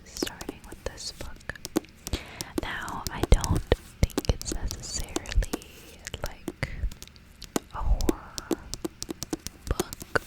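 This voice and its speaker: A woman hosting ASMR in a breathy whisper, chatting casually and reading from a book. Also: poor audio. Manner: casual, rambling. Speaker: ASMR host.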